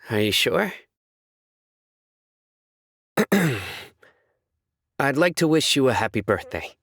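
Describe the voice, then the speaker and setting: A man voicing a stern, principled young judge in a video game, reserved, with measured, formal delivery. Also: medium pitch, fairly bright tone, no echo, studio quality. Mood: softened, sincere. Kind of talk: scripted video game character voice lines.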